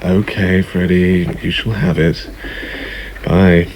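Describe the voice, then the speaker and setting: An actor playing a dry, sceptical journalist and husband in a radio drama, speaking conversationally. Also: male voice, low-pitched, little pitch movement, slow pace, darkish tone, slight background noise, low-quality recording. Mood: wary, sceptical.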